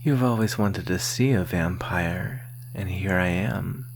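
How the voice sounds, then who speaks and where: A woman playing a flirtatious, menacing vampire in a breathy, whispered ASMR roleplay, teasing and intimate up close to the mic. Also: very deep voice, unhurried, exaggerated voice. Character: flirtatious, menacing thirsty vampire lurking in woods. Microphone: close microphone. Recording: faint steady hiss and hum.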